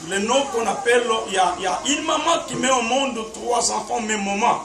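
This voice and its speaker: A man's voice: very high-pitched, brisk, vocal fry, a crowd in the background. A man preaching a teaching sermon as a pastor, with emphatic repetition and frequent chuckles, speaking French, which is not his first language. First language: a language other than French. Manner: emphatic, repeats words, chuckles often. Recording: echoey, distant mic, background noise.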